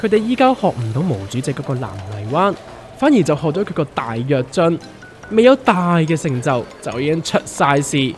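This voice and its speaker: A man as a lively, wry Hong Kong investor pal. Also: a little high, moderate pace. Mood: wry explaining. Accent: Hong Kong Cantonese. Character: wry, well-informed, teasing investor friend. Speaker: voice actor in a radio drama. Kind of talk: scripted comedic dialogue. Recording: music under the voice.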